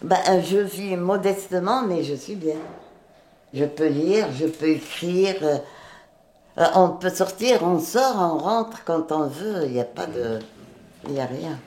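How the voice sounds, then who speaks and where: A woman living in a shelter, speaking in a documentary interview in a halting way with pauses and fillers. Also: lowish voice, dark tone, slight background noise. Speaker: shelter resident.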